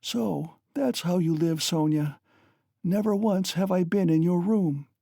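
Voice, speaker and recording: Dying delirious mother, hoarse, shrill, dry close sound; reader doing her. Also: measured pace, mellow, dry recording, full character voice. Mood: bitter. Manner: shrieking, frantic, wailing. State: hoarse, gasping.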